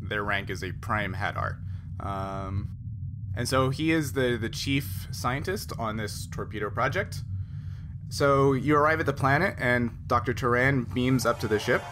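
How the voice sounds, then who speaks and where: A man narrating a tabletop game as game master in a casual, halting, explanatory way. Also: medium pitch. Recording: clearly noisy group recording.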